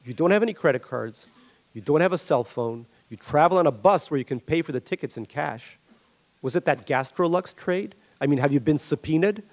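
Man voicing a measured, reproachful wealthy man, somewhat high and lively. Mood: probing.

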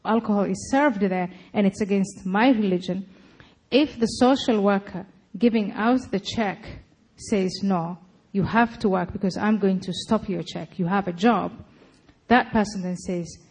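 A woman giving a public talk, arguing her case forcefully. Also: medium pitch, moderate pace, breathy edge, poor audio. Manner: forceful, argumentative. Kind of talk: lecture and debate answering.

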